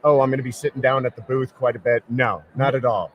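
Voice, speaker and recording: A male lawyer who hosts a podcast, chatting as a guest on a busy convention floor. He tells his stories with animation and slows down to stretch out the words he wants to stress. His pitch sits in the middle range. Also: fairly even intonation, lo-fi sound. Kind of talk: casual chat at a convention booth.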